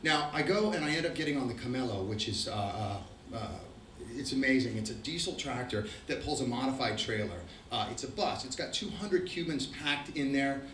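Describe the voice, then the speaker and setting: A man's voice: mid-range voice, lively intonation, moderate pace, fairly bright tone, slight background noise. A middle-aged man with an Argentine accent, telling a profane, wry travel story to a live audience. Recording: roomy, distant mic.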